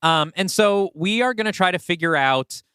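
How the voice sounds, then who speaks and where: Clear-voiced man in casual podcast discussion. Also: sits high, lively intonation, normal speed, pushed and bright, dry recording, clean studio sound. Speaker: podcast co-host. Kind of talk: casual story-planning discussion.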